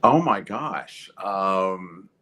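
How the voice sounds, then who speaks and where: A man from Kentucky with an American accent, talking casually and thoughtfully as an interview guest in a measured way. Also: deep voice, lively intonation, sharp tone.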